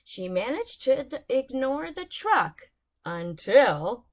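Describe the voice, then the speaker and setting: A woman's voice: sits high, big pitch swings, slow pace. A woman reading bedtime storybooks aloud to young children, expressively, with dramatic emphasis on key words.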